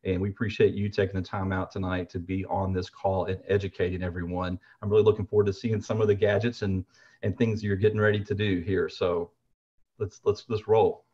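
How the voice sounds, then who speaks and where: A man's voice: low-pitched, little pitch movement, fairly quick, a little mellow. A middle-aged American man chatting appreciatively on a video panel, with hesitant but emphatic phrasing. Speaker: guest, umpire gear retailer. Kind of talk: casual endorsement on a video panel. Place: furnished room at home. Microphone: computer mic on a video call. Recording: slightly dull video-call audio.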